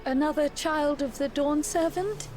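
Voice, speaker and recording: A woman's voice: high voice, unhurried, darkish tone. A woman voicing a polite, level-headed young scholar in a video game, composed and formal. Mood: cool. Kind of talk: scripted video game voice acting. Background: music.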